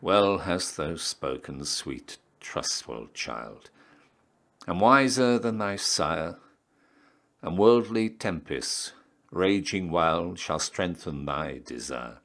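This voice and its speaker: A man with a low-pitched voice gives a slow, dramatic poetry recitation. His phrasing is solemn and theatrical, and the ends of his lines often sink into a hush. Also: expressive, vocal fry. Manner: measured, solemn, theatrical phrasing with hushed line endings. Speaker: poetry reader, town crier.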